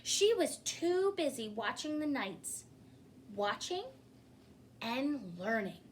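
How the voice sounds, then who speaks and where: A woman reads a children's story at a slow pace in a fairly high voice. Her delivery is expressive, the kind used at story time for young listeners. There is noise on the recording. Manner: expressive, pausing to ask listeners questions. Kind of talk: children's picture book read-aloud. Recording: clearly noisy recording.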